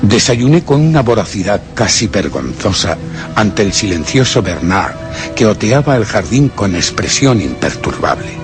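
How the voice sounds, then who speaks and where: An actor playing a tormented, guilt-ridden gentleman narrating his descent into madness in a horror radio drama, with a brooding literary delivery and a Castilian accent. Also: fairly low pitch, very animated, moderate pace. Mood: uneasy, reflective. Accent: Castilian Spanish. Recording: music bed behind.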